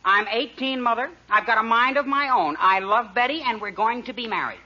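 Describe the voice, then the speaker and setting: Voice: mid-range voice, a little fast, pushed and bright. An actor playing an awkward, eager eighteen-year-old American boy in a 1940s radio comedy, gawky and hesitant. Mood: defiant. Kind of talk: 1940s radio sitcom acting. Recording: muffled 1940s radio with studio audience.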